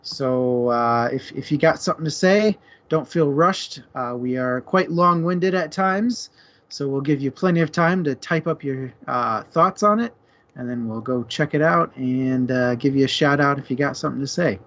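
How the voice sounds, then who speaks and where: A man's voice: medium pitch, normal speed, fairly bright tone. A man chatting casually and playfully as co-host of a book discussion show. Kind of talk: casual chat. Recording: dull, muffled lo-fi audio.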